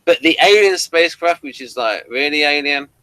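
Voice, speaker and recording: British male co-host, bright tone, dry sound, informal and meandering reviewer. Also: a little high, expressive, moderate pace, clean tone, dry recording. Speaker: podcast co-host. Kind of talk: casual review discussion.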